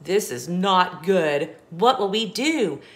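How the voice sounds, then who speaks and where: A woman reading a picture book aloud to young children, with expressive emphasis and small asides to the listener. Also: fairly low pitch, slow pace. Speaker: children's storybook reader.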